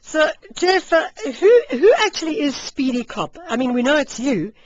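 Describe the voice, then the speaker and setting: A woman hosting a live radio interview in a chatty, animated, exclaiming manner. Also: high-pitched, moderate pace, dry recording. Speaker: radio host interviewing a guest. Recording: muffled radio broadcast.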